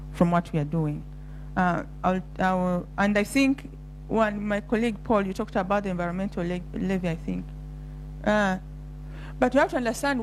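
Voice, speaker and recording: A woman's voice: lowish voice, darkish tone, a little hiss or hum, rough recording. A woman speaking as a panelist for a research institution, giving spontaneous remarks full of restarts and fillers. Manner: halting, many restarts and fillers.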